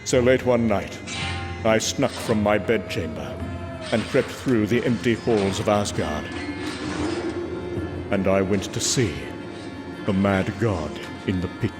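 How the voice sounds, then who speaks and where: Man acting a proud warrior god, grave, theatrical, measured storytelling, comic book drama, music underneath. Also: fairly low pitch. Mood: solemn, reflective. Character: proud warrior god, brooding, bold, battle-worn. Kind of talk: dramatic first-person comic book narration.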